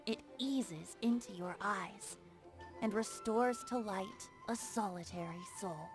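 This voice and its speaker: A woman's voice: mid-range voice, slow pace, music in the background. A woman voicing a gracious, youthful poet-bard in a video game, whimsical, courteous and lyrical in delivery. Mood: tender. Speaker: game character voice actor. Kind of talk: voiced game dialogue, poetry recital.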